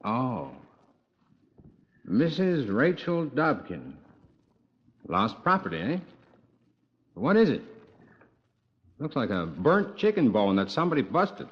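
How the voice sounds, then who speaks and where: An actor playing a plain-spoken, wry American visitor who narrates and talks in a 1950s radio drama. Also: male voice, medium pitch, wildly swooping pitch, slow, long pauses. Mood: wry, curious. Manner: terse, wry. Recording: noisy, muffled old radio recording.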